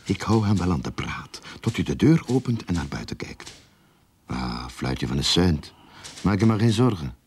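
An actor playing a scheming, bossy boss in a radio play, blustering, wheedling and evasive. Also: male voice, low voice, very animated, moderate pace, darkish tone, slight background noise. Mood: scheming, reassuring.

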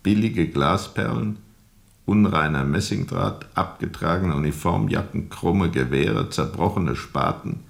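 An actor playing a grave, seasoned sea captain who recounts a jungle river journey in a deliberate, brooding way for a radio drama. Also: male voice, sits low, vocal fry. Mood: dry irony. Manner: deliberate, brooding, measured storytelling. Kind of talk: radio drama narration, recounting under questioning.

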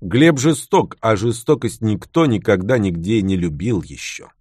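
A man reading a scripted self-help text, with lively, acted-out delivery of the quoted retorts. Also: fairly low pitch, unhurried, husky, dry recording, clean studio sound. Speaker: narrator. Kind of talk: scripted book narration.

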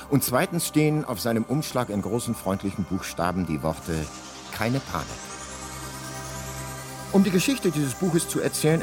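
Wry male storyteller over music. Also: on the low side. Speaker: narrator, voice of the book. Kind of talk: scripted radio drama narration.

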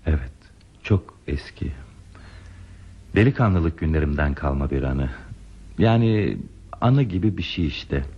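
A man's voice: rumbling low, animated, unhurried, creaky, long pauses, slightly rough, full character voice. An actor playing a bashful, wistful man who recalls a lost youthful love in a hesitant, reflective radio drama style. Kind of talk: radio theatre acting. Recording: dull radio drama recording with music.

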